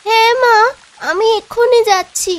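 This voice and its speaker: Casting: female actor for a devoted small cartoon daughter, very high, childlike, plaintive. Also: steady tone, normal speed. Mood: dutiful. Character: sweet little village daughter, devoted to her father. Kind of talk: Bengali fairy-tale cartoon dubbing.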